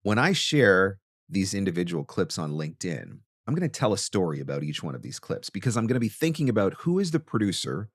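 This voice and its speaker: Low-voiced man, dry close sound. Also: dry recording. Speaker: host, voice actor teaching marketing. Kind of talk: instructional monologue to camera.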